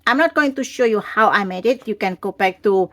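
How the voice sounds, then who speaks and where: A woman's voice: medium pitch, expressive, a little fast, a bit bright. A woman hosting a craft video, speaking casually at her tabletop and filmed from an overhead camera. She is getting over a cold and has a lingering cough. She talks in a chatty, rambling way with the odd restart. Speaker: craft channel host. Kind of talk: casual craft tutorial talk.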